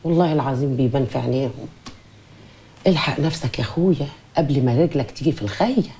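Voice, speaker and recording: A woman's voice: rumbling low, fast, warm tone, slight background noise. Coaxing, outspoken Egyptian woman. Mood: worried, scolding. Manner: coaxing, nagging, emphatic. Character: devoted, fussing, outspoken Egyptian woman, flirtatious and dramatic. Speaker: actress. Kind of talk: TV drama acting.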